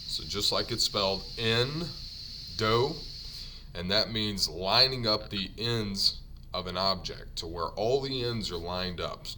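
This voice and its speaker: A low-pitched man with a bright, forward tone works through a vocabulary lesson at an unhurried pace, repeating each trade term slowly for learners before explaining it. A faint hum sits under the recording. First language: English. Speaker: ironworker union instructor. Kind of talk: slide-based vocabulary lesson.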